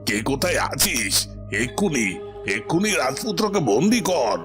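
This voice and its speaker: A man's voice: on the high side, very animated, normal speed, a bit bright, soft background music. A man voicing a scheming cartoon royal minister with a theatrical, emphatic, melodramatic delivery. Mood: menacing. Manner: theatrical, emphatic, repeating phrases. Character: villainous royal minister, menacing, then cowardly and pleading.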